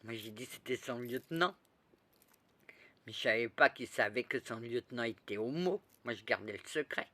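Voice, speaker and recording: A woman telling a rambling, lively story in a casual spoken way, full of restarts and asides. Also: rumbling low, moderate pace. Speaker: storyteller recounting past lives.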